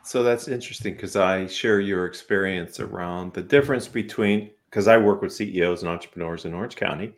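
A man's voice: sits low, fairly quick, few pauses. A man hosting a business interview show in an upbeat, conversational way. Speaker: talk show host.

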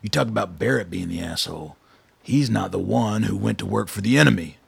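An actor playing a blunt, shrewd old soldier, a commanding colonel with a gravelly, husky voice in a radio drama. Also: male voice, on the low side, a little fast, no room sound, full character voice. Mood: angry, forceful. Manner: blunt, commanding, dryly pressing.

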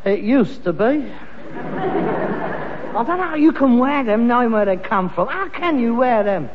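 Sitcom role: pompous, nagging Cockney son, high-pitched and very animated, on a noisy archive recording. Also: male voice, measured pace, long reverb tail, lo-fi sound, a crowd in the background. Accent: London Cockney. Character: exasperated, self-important working-class London son with aspirations. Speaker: sitcom actor. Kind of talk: vintage British sitcom acting.

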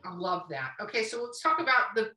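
A woman's voice: a little high, big pitch swings, fairly quick, sharp tone. A chatty, teasing woman hosting a casual podcast conversation over a video call from an echoey room. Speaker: podcast host. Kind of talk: casual chat, interviewing. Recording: roomy echo on voice.

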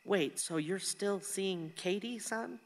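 A woman's voice: mid-range voice, slow. An actress playing an anxious, doting older mother of a grown son in a radio play. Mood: hesitant worry. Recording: very echoey reverberant room or hall.

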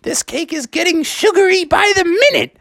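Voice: very high-pitched, lively intonation, moderate pace, a bit bright, voice pushed up, full character voice. A storyteller voicing an exuberant elderly cartoon frog, childlike and full of slangy exclamations. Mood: elated. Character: excitable elderly male frog having his hundredth birthday.

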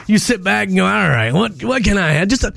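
A man's voice: sits high, lively intonation, brisk, creaky, fairly bright tone, no room sound. An American man, middle-aged, a morning radio host trading playful, jokey banter in a casual style.

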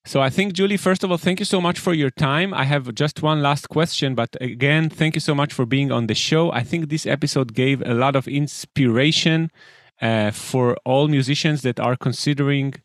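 Male podcast host, mid-pitched, dry close sound, earnest and wordy. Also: moderate pace, no echo. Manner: earnest, wordy questions with fillers. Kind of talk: interview hosting.

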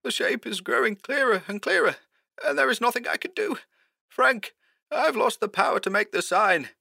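A man voicing a terrified, despairing male horror writer in a high, strained, hoarse voice, as if calling by telephone in an audiobook. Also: expressive, normal speed, bright, forceful tone, exaggerated voice.

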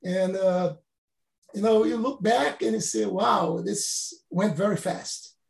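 A Brazilian-born professor in his late fifties whose first language is Portuguese, answering interview questions in halting, non-native phrasing with frequent restarts. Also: high voice, vocal fry, echoey room. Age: 50s.

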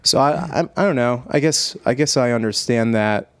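A man hosting a podcast, chatting casually in an easygoing, halting way. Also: mid-range voice, no room sound. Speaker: podcast host, musician.

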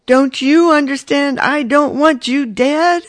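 A man's voice: very high-pitched, lively intonation, takes it slowly, no room sound, voice pushed up, exaggerated voice. A man voicing worried, pleading farm women in an audiobook, anxious and insistent.

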